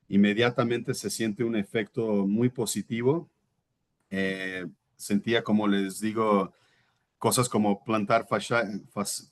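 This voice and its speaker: A man in his thirties, born in Brazil and a native Portuguese speaker, tells his own story as an interview guest. His voice sits fairly low, and he talks in a reflective, earnest way. He sometimes pauses to search for the right word. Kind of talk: personal testimony in conversation.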